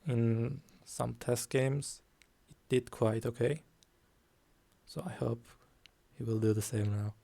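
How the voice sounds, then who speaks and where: A man streaming a card game, talking calmly and softly, with frequent ums. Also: mid-range voice, creaky. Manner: slow, calm, soft-spoken with frequent ums. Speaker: guest streamer. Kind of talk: casual game stream commentary.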